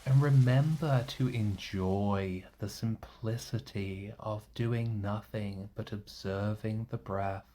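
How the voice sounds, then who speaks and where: Slow, low-voiced man guiding meditation. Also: fairly even intonation, slight background noise. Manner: gentle, reassuring, with long pauses. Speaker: guided meditation host. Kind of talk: guided meditation, soothing instruction.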